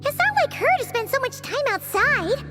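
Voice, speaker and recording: An extremely high, childlike voice for a little floating fairy in a video game. The delivery is excitable and chatty, swinging widely in pitch and full of exclamation. Prominent music plays underneath. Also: female voice, moderate pace. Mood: puzzled, worried. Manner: exclamatory, expressive.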